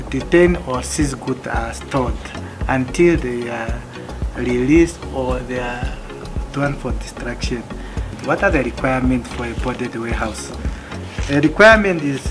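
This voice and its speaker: A man in his thirties from Namibia, calmly going through customs warehouse rules one by one, with some restarts. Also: medium pitch. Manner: plain, methodical, listing items with occasional restarts. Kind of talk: explanatory presentation. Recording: music playing behind.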